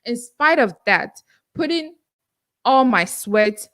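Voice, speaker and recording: A woman's voice: a little high, expressive, takes it slowly, a bit bright. Nigerian-accented woman hosting a podcast. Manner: earnest, self-encouraging, frequent fillers. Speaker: podcast host. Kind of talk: solo livestream Q&A.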